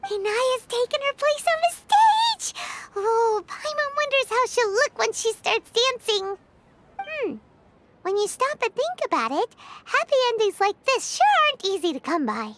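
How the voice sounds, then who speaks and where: A small floating fairy companion with a very high, squeaky voice. She chatters with lively, bouncing pitch and talks about herself in the third person. Faint noise sits under the game audio. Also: heavily acted. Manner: chatty and expressive.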